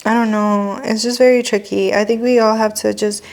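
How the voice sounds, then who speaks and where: A woman's voice: medium pitch, flat delivery, normal speed, gravelly, a little mellow, slight background noise. A young woman from Mexico hosting a podcast, chatting casually and dropping Spanish phrases into her English. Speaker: podcast host and lash artist. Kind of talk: casual chat.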